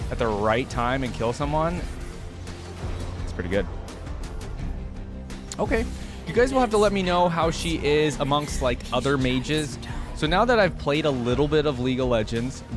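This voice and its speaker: Chatty man with music behind him. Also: mid-range voice. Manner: informal, chatty, enthusiastic fan. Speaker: reaction video host. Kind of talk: casual reaction commentary.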